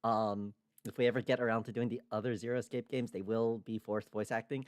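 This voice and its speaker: A man's voice: fairly low pitch, steady tone, a little fast, dry recording, studio quality. A man hosting a livestream, chatting casually and informally with some swearing. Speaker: streamer and host.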